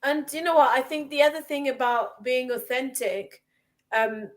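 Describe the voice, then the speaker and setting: A woman's voice: sits high, moderate pace. A woman hosting a casual podcast chat, speaking emphatically and repeating phrases for stress. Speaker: podcast host. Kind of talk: casual chat about confidence.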